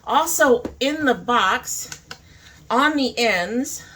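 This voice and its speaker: A woman's voice: on the high side, animated, drawn-out words, bright, forceful tone, slight background noise. A woman talking informally to camera as a beauty vlogger, thinking aloud in a casual makeup review.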